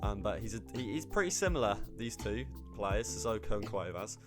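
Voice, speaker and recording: A man, slightly hoarse, rambling casually about his football game squad with lots of ums and restarts. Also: lowish voice, big pitch swings, brisk, music in the background. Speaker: gaming YouTuber.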